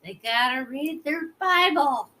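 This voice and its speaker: A woman's voice: high-pitched, expressive, a little slow, pushed and bright. A woman co-host bantering casually in a playful, silly, childlike way. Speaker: co-host, host's mother.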